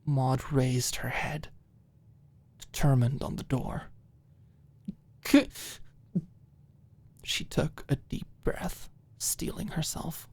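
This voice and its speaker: Low, slow, creaky woman narrator. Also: steady tone, a little mellow. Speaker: audiobook narrator. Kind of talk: scripted story narration, ASMR audiobook.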